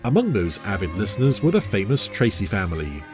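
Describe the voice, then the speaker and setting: Animated low-voiced male story narrator. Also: brisk, music in the background. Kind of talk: scripted dramatic story narration.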